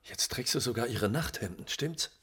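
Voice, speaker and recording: Husky, dry, needling man. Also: mid-range voice, lively intonation, moderate pace, a bit bright. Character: bereaved man, matter-of-fact and needling. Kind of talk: radio drama.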